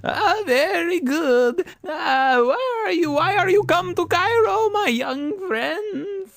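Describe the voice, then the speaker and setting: A woman's voice: very high voice, lively intonation, takes it slowly, creaky, no echo, full character voice. A woman acting a fawning, eager innkeeper in an audio drama, effusive like a salesman, in broken English phrasing. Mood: prying, fawning. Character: fawning, eager Cairo innkeeper, seedy hustler.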